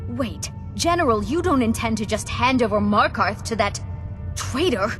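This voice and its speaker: A woman's voice: fairly high pitch, animated, normal speed, fairly bright tone, faint music behind. An actress voicing a haughty, indignant noblewoman in a fantasy game, accusing and affronted. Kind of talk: dramatic fantasy game dialogue.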